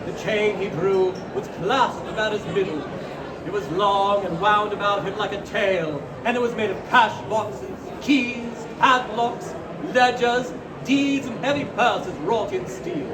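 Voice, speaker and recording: A man's voice: sits high, a little slow. A man giving a theatrical, declamatory live narration of a classic story. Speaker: costumed reader playing a Victorian author. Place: live performance at a fair. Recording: echoey, distant, noisy live fair recording.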